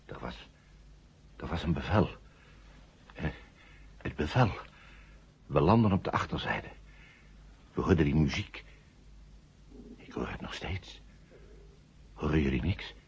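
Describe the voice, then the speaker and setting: Husky, dazed, low-voiced astronaut. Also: male voice, expressive, exaggerated voice. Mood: troubled, weak. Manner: halting, groping for words. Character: dazed male astronaut coming out of hypnosis, anxious and confused. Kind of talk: 1970 Dutch science-fiction radio drama acting. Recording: muffled 1970 radio drama recording.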